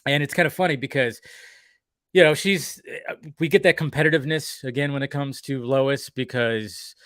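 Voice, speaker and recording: A man co-hosting a casual chat, animatedly recapping a cartoon episode with quick acted-out quotes and casual swearing. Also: mid-range voice, a bit bright, no echo, clean studio sound. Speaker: podcast co-host.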